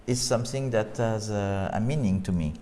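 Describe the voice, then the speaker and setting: A French-accented man with a fairly low voice speaks English in an interview. He talks about filmmaking, stopping often on "uh" and restarting his sentences. The sound carries a long reverb tail. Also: over a noisy crowd. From France. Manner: halting, with many "uh" fillers and restarts. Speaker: film director. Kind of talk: interview conversation.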